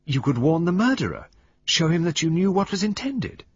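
A man's voice: on the high side, very animated, moderate pace, breathy edge, dry recording, pitched above the performer's own voice, full character voice. A man voicing a distressed, impulsive young Englishwoman who pleads urgently. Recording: dull lo-fi recording.

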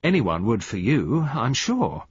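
Affable flattering husband read by a man, hearty and polite, husky, dull dry sound. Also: medium pitch, slow, no echo, pitched above the performer's own voice, full character voice.

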